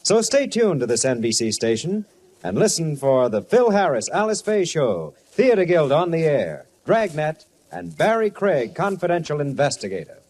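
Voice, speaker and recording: A man's voice: a little high, very animated, moderate pace, a little mellow. A man with an American accent reading scripted announcements and narration in 1950s radio style. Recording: old network radio broadcast.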